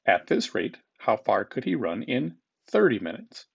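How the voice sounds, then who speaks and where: A man's voice: medium pitch, big pitch swings, unhurried, creaky, slightly rough, muffled recording. A man speaking as a teacher, reading test questions aloud to students in an informal, instructive manner and repeating them.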